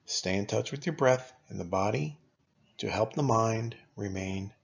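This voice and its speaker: A man leading a guided meditation as a teacher, speaking in a calm, unhurried, instructional way with long pauses. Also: fairly low pitch, gravelly, slightly rough, highs cut off.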